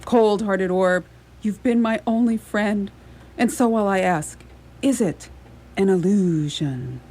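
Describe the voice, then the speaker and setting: A woman's voice: mid-range voice, takes it slowly, warm tone. A woman in a radio theatre play acting a lonely, nervous superhero cosplayer, theatrical, dithering and full of self-questioning. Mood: solemn, poetic. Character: lonely, nervous young superhero cosplayer reciting poetry on a rooftop.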